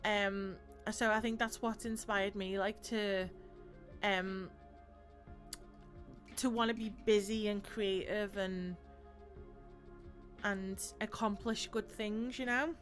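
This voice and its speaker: A woman's voice: mid-range voice, fairly even intonation, slow pace, long pauses, soft background music. A woman hosting a live stream, chatting casually and laughing often, with lots of fillers. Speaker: streamer host.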